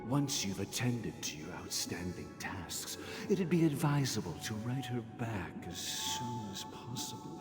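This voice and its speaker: An actor playing a grave, calculating older master of a dream realm in a video game, speaking formally and deliberately. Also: male voice, mid-range voice, big pitch swings. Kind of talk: dramatic video game voice acting. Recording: long reverb, music underneath. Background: game music.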